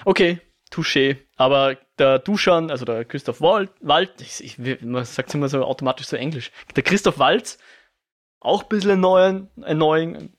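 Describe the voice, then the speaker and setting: A man in a relaxed film podcast chat who talks his thoughts through as they come. He often stumbles and restarts mid-sentence while hunting for names, and his voice sits at an ordinary middle pitch for a man. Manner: hesitant, restarts and self-corrections. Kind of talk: casual film discussion.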